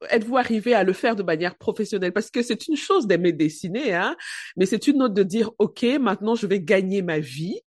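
A woman's voice: medium pitch, animated, a little fast, a little mellow, no room sound, studio quality. A woman interviewer asking polite, formal questions.

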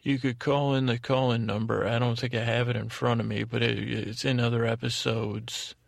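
A male podcast host with a creaky voice rambles in a halting, meandering way. His pitch stays fairly even as he wanders into tangents and repeats himself. The sound is dry, with little room around it. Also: no echo.

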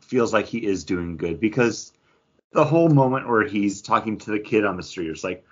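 A man's voice: on the low side, lively intonation, moderate pace, muffled recording. A man chatting casually and informally as a podcast co-host discussing a book.

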